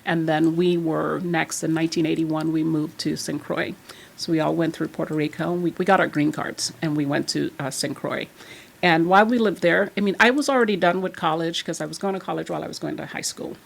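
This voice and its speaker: A woman in a low, gravelly, husky voice. She talks as a podcast guest, chatty and animated as she tells one story after another. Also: fairly quick. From Trinidad and Tobago. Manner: chatty and animated, laughs while telling stories. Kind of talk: casual storytelling chat.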